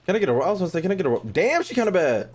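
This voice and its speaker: A man's voice: high voice, very animated, rapid-fire, husky. A man doing casual, slangy, joking live commentary as he streams a game.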